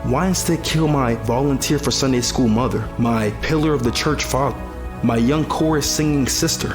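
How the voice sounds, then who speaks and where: Guy playing a worn-out young survivor in an audio drama, thoughtful and plain, loud music under him. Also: mid-range voice, moderate pace, lightly acted. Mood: bitter, questioning. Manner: reflective, plain-spoken. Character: weary, disillusioned young male survivor of a ruined world. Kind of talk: first-person audio drama narration and dialogue.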